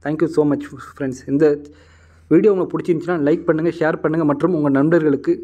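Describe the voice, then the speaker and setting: Male explainer host, very quick delivery, warm dark tone, instructive and repetitive. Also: on the high side, steady tone. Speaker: host of a job news channel. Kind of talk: informal explainer narration addressed to viewers.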